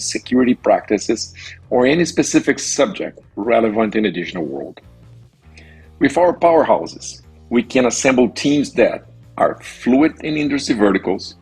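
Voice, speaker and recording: A man's voice: medium pitch, darkish tone, slightly rough, low-quality recording. A man delivering a prepared corporate presentation as a company executive.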